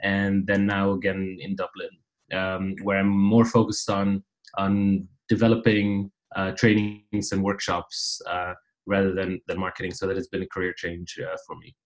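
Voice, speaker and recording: A man in his thirties who grew up in the Netherlands, speaking as a podcast guest over a remote call, with many "uh" fillers and a steady, thoughtful manner. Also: low-pitched, normal speed, poor audio. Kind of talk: casual interview chat.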